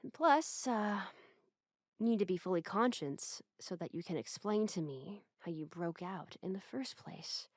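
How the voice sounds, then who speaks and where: A woman voicing an obsessive, sweetly doting yet menacing captor in an ASMR roleplay, soft and breathy, often slipping toward a whisper with tender, coaxing hesitations. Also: medium pitch, animated, unhurried, dry recording, clean studio sound. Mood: softly menacing.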